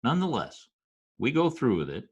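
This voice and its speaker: Man playing a husky, lively Broadway storyteller. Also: mid-range voice, a little fast. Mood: wry. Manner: folksy present-tense slang, deadpan storytelling. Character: wisecracking male Broadway hustler narrating his tale. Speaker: narrator and supporting character. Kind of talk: 1940s radio drama narration in New York street slang. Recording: music behind, home video-call radio play.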